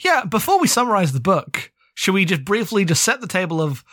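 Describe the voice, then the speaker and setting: A man's voice: sits high, big pitch swings, a little fast, pushed and bright, no room sound, studio quality. A man in his thirties co-hosting a podcast, chatting casually about books in a rambling, wry way. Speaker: podcast co-host. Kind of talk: casual book discussion.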